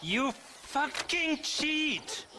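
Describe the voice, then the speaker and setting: Suspicious German sore loser, German accent, high-pitched, hostile, game cutscene, faint background noise. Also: male voice, very animated, drawn-out words, full character voice. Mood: furious. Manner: aggressive, accusatory, swearing. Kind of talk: video game cutscene acting.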